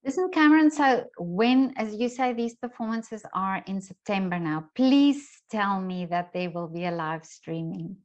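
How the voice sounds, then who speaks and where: A woman's voice: medium pitch, expressive, measured pace, few pauses. A middle-aged woman hosting a casual interview in a chatty, effusive manner, recorded with a dull, muffled sound. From lives in Vienna, Austria. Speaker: interviewer, series host.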